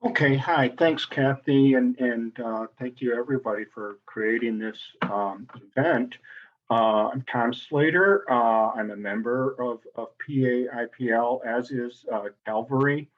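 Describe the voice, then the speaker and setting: A man introducing himself informally as a committee member, halting often as he goes. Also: mid-range voice. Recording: dull call audio.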